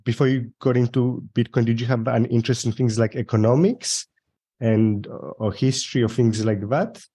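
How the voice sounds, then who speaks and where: A man hosting a podcast, asking casual interview questions in a relaxed, conversational way with some hesitant restarts. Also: medium pitch, normal speed, breathy edge. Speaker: podcast host.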